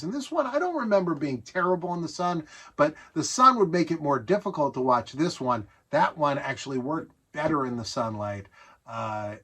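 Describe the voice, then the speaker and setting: Middle-aged American man with a husky voice. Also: a little high, a bit bright. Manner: conversational, rambling, enthusiastic. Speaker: presenter showing a collection of vintage gadgets. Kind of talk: casual nostalgic show-and-tell talk.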